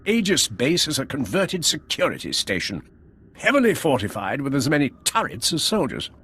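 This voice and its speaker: A man playing a young, dutiful Imperial major with a husky voice in a video game. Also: mid-range voice, huge pitch swings, normal speed, sharp tone, full character voice. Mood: crisp, dutiful.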